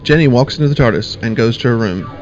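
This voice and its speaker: A man giving plain, steady scripted narration for an audio drama. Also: mid-range voice, quick pace, lo-fi sound. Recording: music bed behind.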